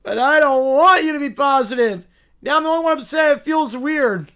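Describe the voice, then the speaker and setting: A man's voice: extremely high pitch, sharp tone, pitched above the performer's own voice, exaggerated voice. A man voicing a childish, bossy sports fan who whines petulantly and wants everyone to be miserable. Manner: whining, petulant, drawn-out complaints.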